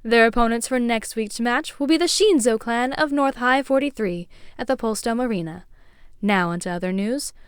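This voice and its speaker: High-voiced woman acting as a formal news anchor. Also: clear, resonant tone, dry recording, clean studio sound, close to an everyday voice. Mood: formal, composed. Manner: formal, measured broadcast delivery. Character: polished female TV news anchor. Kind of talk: scripted news reporting.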